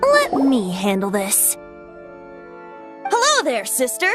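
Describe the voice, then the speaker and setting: Young boy bard for a game, high voice, super animated, cheeky show-off, theatrical. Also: slow pace, music in the background, heavily acted. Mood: coaxing, charming. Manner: theatrical, teasing, flowery speech. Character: playful, boastful young male bard, secretly a god. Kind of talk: video game voice acting.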